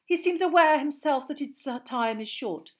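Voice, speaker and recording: A woman's voice: sits high, expressive, moderate pace, pitched above the performer's own voice. A woman voicing a theatrical, airy fortune-teller witch teacher in an audiobook reading. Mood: sharp. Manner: airy and grandiose. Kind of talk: audiobook character voice.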